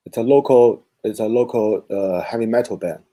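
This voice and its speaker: Halting, dark-voiced Chinese man. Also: lowish voice. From China. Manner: halting, searching for words. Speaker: guest. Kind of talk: video call interview. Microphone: video call.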